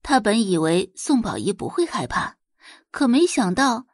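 A woman's voice: mid-range voice, sing-song, a little slow, breathy edge, no room sound, clean studio sound. A woman narrating a novel as an audiobook, in a dramatic scripted storytelling style.

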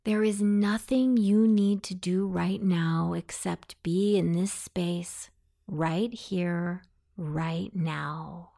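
A woman leads a guided meditation at an extremely slow, deliberate pace. Her voice sits a little low and has a clear creak to it. She gives soothing instructions. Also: steady tone, dark tone.

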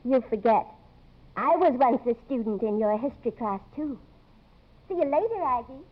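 A woman's voice: fairly high pitch, lively intonation, normal speed. A woman playing a knowing, coaxing, fluttery college president's wife in a 1950s radio drama. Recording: muffled old 1950s radio broadcast.

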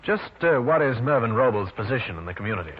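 An actor playing a tough, wry American private detective in a 1940s radio drama, clipped and dry. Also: male voice, mid-range voice, very animated, fast talker, slightly rough. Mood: businesslike. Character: tough, cool, wry New York private detective man. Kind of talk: 1940s radio detective drama, first-person narration and dialogue. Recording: muffled, noisy old radio recording.

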